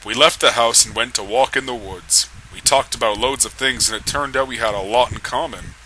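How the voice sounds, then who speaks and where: Bright-voiced man reading aloud, amused. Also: mid-range voice, brisk, slight background noise, poor audio. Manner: amused, often struggling not to laugh. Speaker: reader of a comedic fan fiction read-aloud. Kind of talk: dramatic reading of amateur fan fiction with asides.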